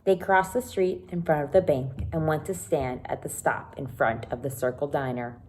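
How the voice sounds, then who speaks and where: A woman's voice: deep voice, normal speed, darkish tone, a little hiss or hum. A woman teacher reading a children's book aloud and explaining it simply, with dramatic emphasis. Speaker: elementary teacher.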